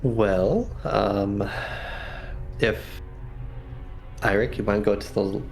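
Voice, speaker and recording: A man's voice: lowish voice, slow, gravelly, darkish tone, faint music behind. A man playing a tabletop roleplaying game, casually planning tactics with the group.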